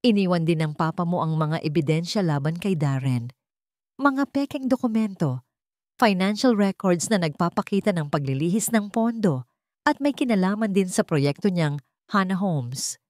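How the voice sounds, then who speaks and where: A woman's voice: lowish voice, expressive, moderate pace, darkish tone, dry recording, studio quality, natural voice. A woman voicing a grave old male lawyer. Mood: grave, sympathetic.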